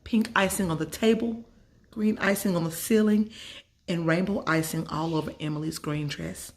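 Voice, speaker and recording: A woman's voice: fairly low pitch, fairly even intonation, normal speed. A woman storyteller narrating a children's story from a script.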